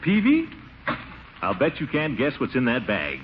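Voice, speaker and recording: Pompous, wildly animated blustering man. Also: medium pitch, measured pace. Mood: smug boasting. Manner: blustering, theatrical, exclamatory. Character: pompous, excitable, boastful middle-aged water commissioner. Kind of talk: 1940s radio comedy acting. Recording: muffled, noisy 1940s radio recording.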